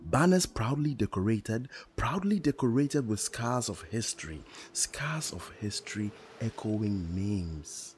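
A man playing a dreamy wanderer of his own kingdom, reciting deliberately and poetically in a radio play. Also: lowish voice, expressive, a little hiss or hum. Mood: dreamy, incantatory. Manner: incantatory, deliberate, repeating phrases. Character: unhurried dreamy male wanderer who calls the land his kingdom.

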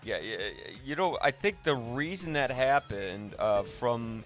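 A man with a mid-pitched voice hosts a casual film discussion. He talks informally and freely airs his opinions, rambling from thought to thought. Music plays faintly behind him. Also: lively intonation, unhurried. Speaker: podcast host.

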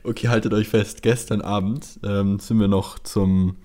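A man's voice: fairly low pitch, steady tone, moderate pace, darkish tone. A young man chatting as a podcast co-host, telling stories with English slang and swearing mixed in. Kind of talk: casual chat, anecdotes.

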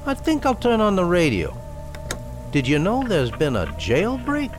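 Menacing male stranger, folksy, insistent, highish voice, swooping intonation, noisy old radio drama. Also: wildly swooping pitch, unhurried, over music, exaggerated voice. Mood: suspicious. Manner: folksy, falsely friendly, insistent. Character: smooth, menacing male stranger driving a lone woman. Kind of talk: old-time radio thriller acting.

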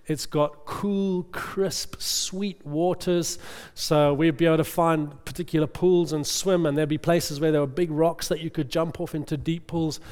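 Preacher with a smooth, fairly high voice. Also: male voice. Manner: chatty, checks in with the congregation with "amen?". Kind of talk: conversational sermon. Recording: long reverb of a large hall.

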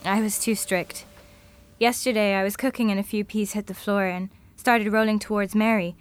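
A woman's voice: medium pitch, fairly even intonation, normal speed, smooth, lightly acted. A woman playing a thoughtful, idealistic futurist in an audio drama, speaking in a reflective, earnest manner.

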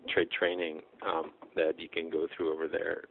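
Deep gravelly man, tinny sound. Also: monotone, moderate pace. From Czech Republic. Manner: hesitant, many fillers and restarts. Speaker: guest, blacksmith. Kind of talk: casual interview. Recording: tinny phone-like audio.

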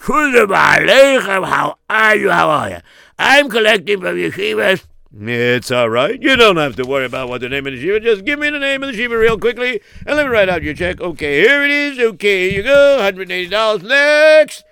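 A man voicing a brisk, exuberant rich philanthropist in a comic story, emphatic and repeating himself cheerfully. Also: high-pitched, sing-song, creaky, bright, forceful tone, smooth, no room sound, pitched above the performer's own voice, exaggerated voice. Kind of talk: acted dialogue in a comic story.

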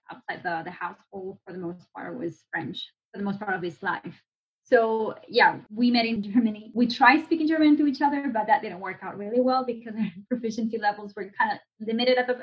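Woman, 30s, professor, easygoing chat with light laughs, medium pitch, dull lo-fi recording. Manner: easygoing, with small laughs and restarts. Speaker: assistant professor of Spanish. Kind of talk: casual conversational explanation. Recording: slightly muffled lo-fi recording.

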